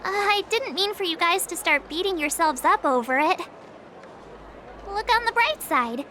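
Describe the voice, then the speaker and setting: An actress playing a perky, upbeat young woman adventurer in a video game, chatty and expressive. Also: extremely high pitch, moderate pace, a little hiss or hum, exaggerated voice. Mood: upbeat, reassuring. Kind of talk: animated video game dialogue.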